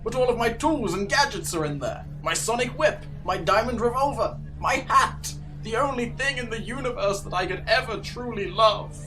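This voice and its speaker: A man's voice: extremely high pitch, lively intonation, moderate pace, hardly any pauses, music in the background, pitched above the performer's own voice, poor audio. A man voicing a pompous, self-absorbed space archaeologist professor in a declamatory comic audio adventure style. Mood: panicked, dismayed.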